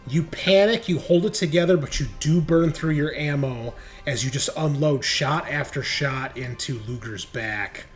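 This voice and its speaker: A man's voice: fairly high pitch, moderate pace, hardly any pauses, faint music behind. A man acting as game master, narrating a tabletop roleplaying game in a casual, descriptive way. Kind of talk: improvised game narration and rules calls.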